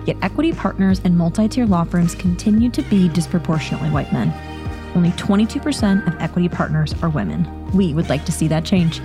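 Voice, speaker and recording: A woman's voice: on the low side, expressive, fast, music in the background. A woman hosting a podcast, reading a polished intro and then asking interview questions. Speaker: podcast host.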